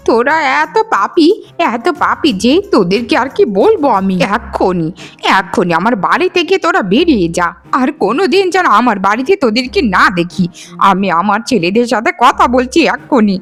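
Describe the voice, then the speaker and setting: A high-pitched elderly mother-in-law acted by a woman from a cartoon morality tale. She is emotional and righteous, dramatic in delivery, and repeats words for weight. Faint music plays underneath. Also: very animated, brisk, a bit bright. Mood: outraged, scolding. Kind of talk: Bengali animated moral-story voice acting.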